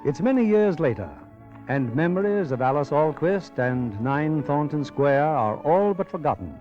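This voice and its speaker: A man narrates a 1940s radio drama from a script. His voice is fairly high, on a slightly muffled old recording with soft music. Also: animated, moderate pace.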